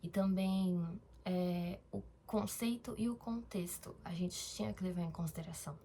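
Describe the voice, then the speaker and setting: A woman's voice: on the low side, moderate pace, dark tone, slight background noise. A young woman from Brazil talking casually in a diary-style vlog, rambling, with fillers and self-corrections. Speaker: vlogger.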